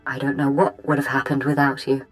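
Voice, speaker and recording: A courteous, anxious noblewoman with a low voice. She speaks formally and deferentially, as in a video game drama, over faint music. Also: level tone, a little fast. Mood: grateful, gracious. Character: polite, worried noblewoman pleading for help.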